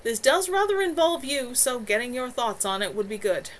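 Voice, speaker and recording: Brief: woman voicing a composed woman in charge, explains plans, raised pitch. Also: normal speed, a little hiss or hum, close to an everyday voice. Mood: explaining.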